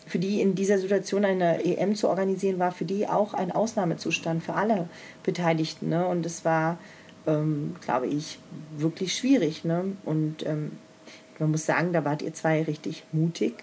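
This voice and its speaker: A woman talking in a relaxed, blunt way, dropping swear words and teasing her friend. Her voice sits a little lower than most women's. A faint hiss of noise runs underneath. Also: normal speed. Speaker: coach and organizer. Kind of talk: casual podcast chat.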